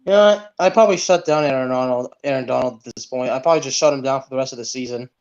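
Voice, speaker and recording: A man's voice: mid-range voice, quick pace, darkish tone. A man co-hosting a sports podcast, chatting casually about football over dull, lo-fi audio.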